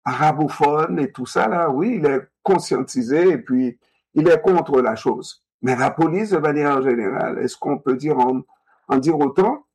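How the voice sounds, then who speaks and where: A man in a discussion, talking in an animated way, repeating himself and asking rhetorical questions. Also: a little high, unhurried, slightly rough.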